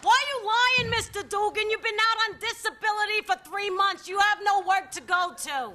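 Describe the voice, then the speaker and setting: A woman's voice: very high voice, steady tone, normal speed, pushed and bright. An actress playing a feisty, suspicious working-class woman on parole in a radio play, with a streetwise New York accent and combative manner. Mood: scolding. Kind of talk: stage play performed for radio.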